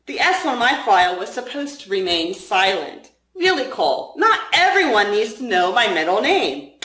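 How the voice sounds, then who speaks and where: A woman's voice: fairly high pitch, sing-song, moderate pace, fairly bright tone. A woman voicing an irritated, earnest younger male FBI agent in a story reading. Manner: grumbling, put-upon. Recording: roomy, echoing room sound.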